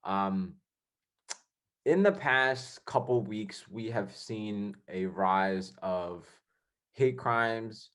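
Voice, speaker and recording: A man's voice: lowish voice, animated, very slow, fairly bright tone. A young man hosting a casual podcast, rambling with many fillers and restarts. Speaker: podcast host.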